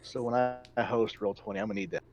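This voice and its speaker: Man with mid-pitched voice, casual player. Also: very animated, fast, creaky. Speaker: player in a tabletop game. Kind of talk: casual chat.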